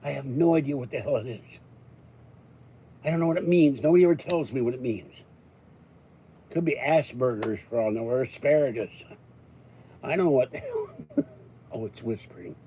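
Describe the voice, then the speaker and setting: An older American man hosting his own channel, talking in a rambling, casual way with jokey asides and frequent throat-clearing. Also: medium pitch, sing-song, moderate pace, long pauses, dark tone, a little hiss or hum, rough recording. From Pittsburgh, United States. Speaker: YouTube channel host. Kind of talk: rambling casual monologue to viewers.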